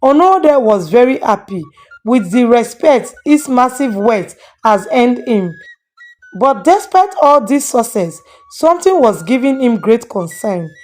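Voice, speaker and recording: A woman's voice: on the high side, sing-song, unhurried, a little mellow, soft background music. A woman storyteller giving a scripted narration of a moral folktale.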